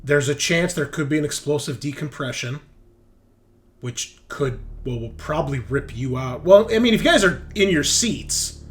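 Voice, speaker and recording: A man's voice: a little high, lively intonation, normal speed. A man running a tabletop roleplaying game, improvising narration and giving rulings in a casual, conversational way. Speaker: game master.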